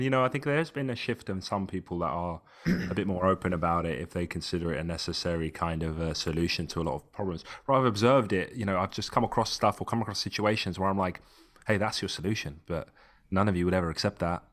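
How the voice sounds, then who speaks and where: A man from Tunisia co-hosting a podcast, talking in an animated, emphatic way and often restarting his phrases. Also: low-pitched, fast. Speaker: podcast co-host. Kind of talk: casual podcast chat.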